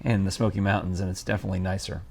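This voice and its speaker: A man's voice: deep voice, little pitch movement, very fast, creaky, darkish tone, husky. A middle-aged man hosting a morning TV talk show, chatty and jokey, often laughing. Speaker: host. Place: TV studio desk. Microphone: lapel mic.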